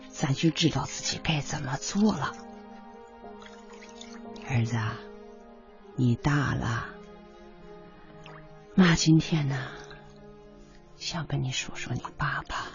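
A woman's voice: a bass voice, expressive, slow pace, pauses often, full character voice. A woman acting a careworn, devoted middle-aged mother in a radio drama, speaking in an earnest, plaintive, homely way. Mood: tender, grave. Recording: dull radio drama recording, music bed.

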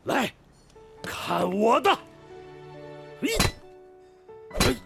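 Spirited old veteran worker, high-pitched. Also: male voice, lively intonation, drawn-out words, vocal fry, music in the background, full character voice. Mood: proud, spirited. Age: older. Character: proud old male squad leader, veteran steel worker. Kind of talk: radio drama acting.

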